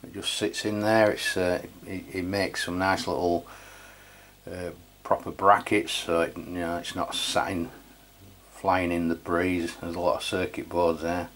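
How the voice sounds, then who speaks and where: A middle-aged Englishman with a low-pitched voice talks through a piece of equipment. He is informal and matter-of-fact, in the casual style of a hands-on video review. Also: little pitch movement, measured pace, fairly bright tone. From England. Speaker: radio review channel host.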